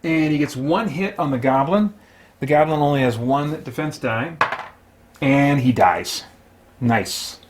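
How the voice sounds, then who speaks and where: A man's voice: on the high side, lively intonation, measured pace, noisy background. Man casually narrating his solo tabletop game. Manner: mild exclamations, chatty self-talk. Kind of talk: casual play-by-play commentary, thinking aloud.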